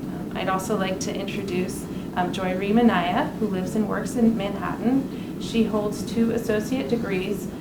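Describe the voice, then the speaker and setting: A woman's voice: medium pitch, fairly even intonation, moderate pace. A woman introducing the speakers at a university event, reading a prepared introduction stiffly with frequent "um"s. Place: university art gallery. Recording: echoey room with loud background noise.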